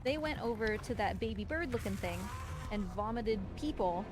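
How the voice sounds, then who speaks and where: A woman's voice: on the high side, lively intonation, moderate pace, background noise. A woman giving chatty, playful, casual commentary on her own livestreamed speedrun. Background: game music.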